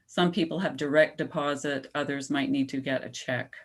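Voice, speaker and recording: A woman with a low, steady voice lays out a policy proposal to a local board. She explains patiently and slows down to stress her key points. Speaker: climate advocate presenting to a town board. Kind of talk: public comment at a town meeting.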